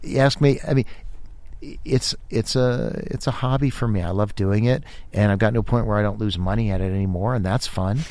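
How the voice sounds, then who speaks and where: A man chatting casually as a guest on a podcast, telling stories in animated bursts with many restarts. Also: fairly low pitch, brisk, no room sound. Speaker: podcast host, guest here. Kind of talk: casual interview chat.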